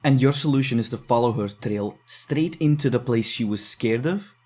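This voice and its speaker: A man playing a dry, deadpan skeptic. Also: mid-range voice, moderate pace, natural voice. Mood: arguing. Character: wary sarcastic young man, reluctant investigator. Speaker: voice actor. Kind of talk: audio drama acting.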